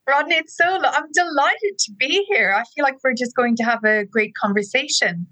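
A woman's voice: high-pitched, normal speed, pushed and bright, dry recording, low-quality recording. A woman in her forties with an Irish accent, an enthusiastic, chatty storyteller speaking as a guest over a remote call. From Ireland. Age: 40s. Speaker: guest, education app co-founder. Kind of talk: podcast interview.

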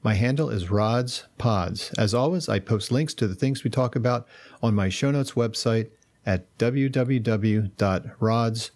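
A man's voice: mid-range voice, a little slow, a little mellow, no echo. A man hosting a podcast, reading the intro and sponsor notes, then asking friendly questions with fillers. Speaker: podcast host.

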